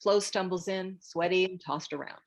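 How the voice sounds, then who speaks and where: Lower-pitched woman narrator. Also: normal speed. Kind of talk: scripted screenplay narration.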